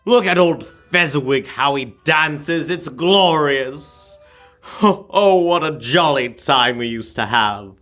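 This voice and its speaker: A man playing a wistful, easily moved old miser in a radio play, halting and exclamatory. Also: a little high, expressive, a bit bright. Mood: joyful, nostalgic. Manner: halting, exclamatory, stammering with emotion. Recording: music under the voice. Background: music.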